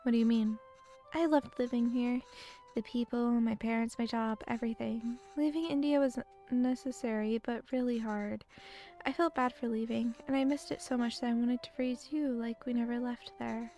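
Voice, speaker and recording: A woman with a weak voice reading the lines of a gentle middle-aged immigrant mother in a story game, in a calm, reflective way. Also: on the high side, moderate pace, faint music behind, lightly acted.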